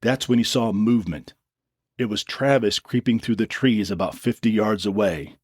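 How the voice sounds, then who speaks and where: A man's voice: on the low side, normal speed, a little mellow, slightly rough, dry recording, studio quality. A man reading scripted, dramatic story narration as the narrator.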